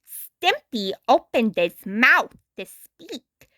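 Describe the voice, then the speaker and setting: A woman reads a story aloud at a very slow, deliberate pace. Her voice is bright and swings widely in pitch with dramatic storybook phrasing. The recording is dry and close. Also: sing-song, dry recording. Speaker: storytime narrator. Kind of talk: expressive scripted storybook narration.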